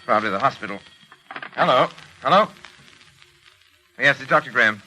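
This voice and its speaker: An actor playing a grave, controlling psychiatrist and husband in a 1940s radio drama, speaking formally and emphatically. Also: male voice, mid-range voice, expressive, pushed and bright, slight background noise, low-quality recording. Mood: flustered, intrigued. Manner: formal, emphatic, halting under strain. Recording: 1940s radio recording, music behind.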